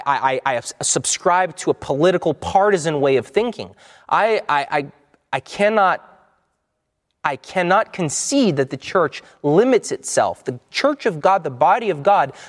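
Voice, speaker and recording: A man delivers a conversational sermon, speaking in a somewhat raised voice. He restarts his phrases a lot and repeats himself as he explains Scripture. His voice rings with a long reverb, as in a large hall. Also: on the high side. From United States. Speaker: preacher. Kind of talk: sermon-style Bible teaching.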